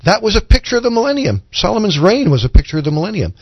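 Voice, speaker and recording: A man's voice: high-pitched, sing-song, rapid-fire, no echo, highs cut off. A man in a conversational Bible discussion, explaining points earnestly and sometimes answering his own questions. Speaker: religious podcast panelist explaining Bible prophecy.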